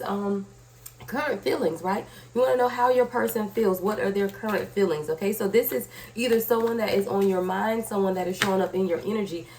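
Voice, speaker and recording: A woman's voice: mid-range voice, darkish tone. A woman hosting a conversational tarot reading, her voice slightly scratchy from a cold, addressing viewers directly and checking in with short tag questions. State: sick with a cold. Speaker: tarot reader, channel host.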